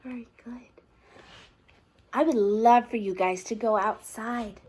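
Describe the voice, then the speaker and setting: A woman's voice: a little high, a little slow, darkish tone, husky. A woman teacher reading a picture book aloud to toddlers, asking encouraging questions in a sing-song, exaggerated way.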